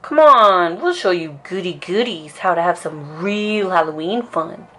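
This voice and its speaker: A woman's voice: fairly low pitch, lively intonation, measured pace, bright, forceful tone, a little hiss or hum. A woman voicing a cocky, taunting young bully bear from a storybook, goading as he talks.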